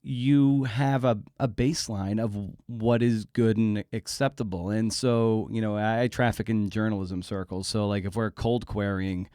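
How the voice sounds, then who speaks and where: A man with a fairly low voice speaks as a podcast host in a close, dry recording. He talks casually and haltingly, doubling back on words as he works toward his point. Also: steady tone, mellow, smooth, no echo. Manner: halting, repeats words while building a point. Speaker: podcast host interviewing an author. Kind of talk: casual conversational interview.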